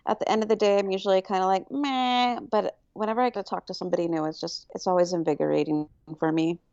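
A woman's voice: mid-range voice, clear, resonant tone, no room sound. Bubbly woman, dry dull recording. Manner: upbeat, bubbly, quick to get excited. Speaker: podcast guest. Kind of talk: casual interview chat.

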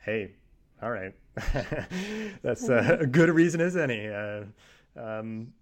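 A man's voice: mid-range voice, big pitch swings, slow pace, a crowd in the background. A man hosting a podcast interview, chatting loosely with lots of fillers and restarts. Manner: filler-heavy, rambling with many "like" and "uh". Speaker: podcast host. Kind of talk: casual interview chat.

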